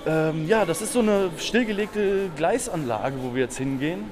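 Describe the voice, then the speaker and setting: Relaxed slangy man outdoors. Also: sits high, moderate pace. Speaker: graffiti artist guest. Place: outdoors under a bridge. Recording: outdoor noise behind.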